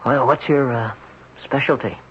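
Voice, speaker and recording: A man's voice: medium pitch, steady tone, moderate pace, very noisy, natural voice. An actor playing a desperate, haunted male accident survivor, urgent and pleading. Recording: muffled vintage radio broadcast.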